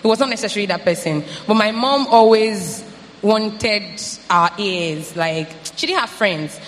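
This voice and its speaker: A young adult telling a personal family story, animated when quoting family members. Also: mid-range voice, measured pace, slight background noise. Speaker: panel participant. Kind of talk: casual sharing. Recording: hall reverb with faint ambience.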